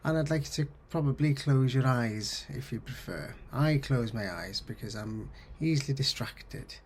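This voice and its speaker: Husky, creaky man guiding a meditation. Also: medium pitch, animated. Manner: soothing, gently instructive, pausing between short phrases. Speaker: counsellor guiding mindfulness. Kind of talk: guided meditation, body scan.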